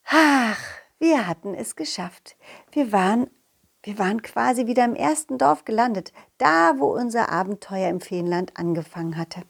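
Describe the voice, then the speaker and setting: A woman's voice: a little high, moderate pace, gravelly, husky, exaggerated voice. A woman voicing a cheerful, confident, loyal talking dog who tells his adventures playfully and expressively in a children's audio play. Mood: cheerful, narrating. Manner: playful, expressive, addressing a companion.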